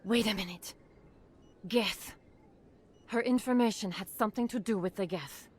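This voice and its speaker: A woman's voice: mid-range voice, normal speed, breathy edge, close to an everyday voice. Woman as an earnest, anxious doctor. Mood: earnest, uneasy. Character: shaken but cooperative female doctor, earnest informant. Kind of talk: video game voice acting.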